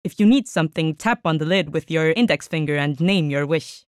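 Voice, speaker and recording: A man's voice: fairly high pitch, a little fast, smooth, dry recording, clean studio sound, pitched above the performer's own voice, natural voice. A man voicing a small male creature who lives under the stove and begs for food. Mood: pleading. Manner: wheedling, begging.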